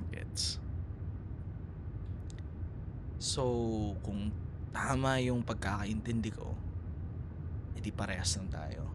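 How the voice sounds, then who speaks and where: A man's voice: mid-range voice, lightly acted. Man acting as young Filipino ex-boyfriend, teasing, casual intimate roleplay, audible car noise. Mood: reflective.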